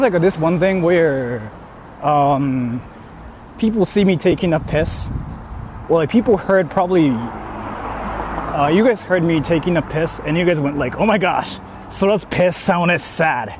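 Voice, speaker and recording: A man livestreaming casually, reading out viewer comments and answering them in a rambling, self-questioning, sweary way. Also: fairly high pitch. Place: outdoors on an urban street, walking. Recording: clearly noisy outdoor city street stream.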